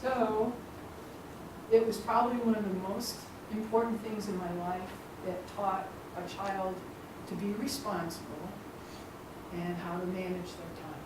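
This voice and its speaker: A woman's voice: medium pitch, steady tone, distant mic. Female club member answering off the cuff, reflective, heard from across a very echoey room with background noise. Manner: reflective, halting at the start. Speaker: meeting participant answering a question. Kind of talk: impromptu answer at a speaking club.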